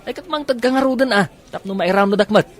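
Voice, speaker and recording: A man's voice: high-pitched, fast talker. A man with an Ilocano accent playing a nosy, playful buddy in a comedy radio drama. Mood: teasing. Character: teasing, joking male buddy, nosy and playful.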